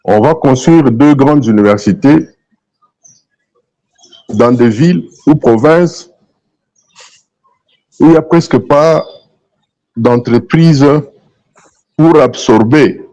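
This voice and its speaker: A man's voice: mid-range voice, slow pace, pauses often, mellow, dull audio. A man from DR Congo with a Congolese accent, giving opinionated political views as a talk show guest, emphatic and repeating his phrases. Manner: emphatic, repeats phrases, switches between French and Lingala.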